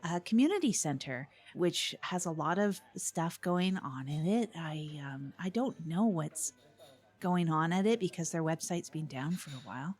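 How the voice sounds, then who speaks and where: Woman doing chatty public access radio host lady, bit low voiced, earnest, rambly, keeps repeating herself. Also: expressive, normal speed, a little mellow, husky. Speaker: co-host of community radio show. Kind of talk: improvised comic audio drama, casual radio chat.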